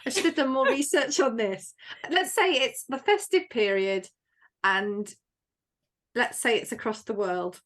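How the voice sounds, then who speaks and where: A woman's voice: a little high, measured pace, fairly bright tone. A woman hosting a podcast quiz, casual and playful, poking fun at herself. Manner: playful, self-deprecating. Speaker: podcast host. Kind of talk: casual chat, running a quiz.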